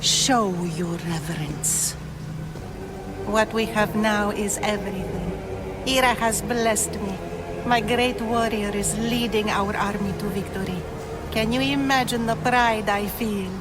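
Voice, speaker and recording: Brief: imperious zealot woman, played by an actress, slow, grandiose, reverent, music underneath. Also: mid-range voice, close to an everyday voice. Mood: reverent, exultant. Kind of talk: dramatic video game acting.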